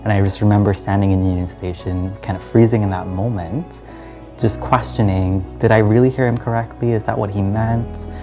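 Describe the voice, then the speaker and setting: A man's voice: lowish voice, steady tone, normal speed, over music. A young man from Trinidad and Guyana telling his personal story in a reflective, earnest way, as in a documentary interview. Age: young adult.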